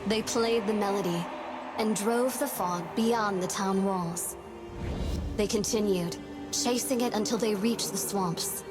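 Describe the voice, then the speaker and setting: Woman narrating a fantasy game legend, storybook style, unhurried, music behind. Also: medium pitch, lightly acted. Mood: solemn. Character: storyteller recounting an old town legend.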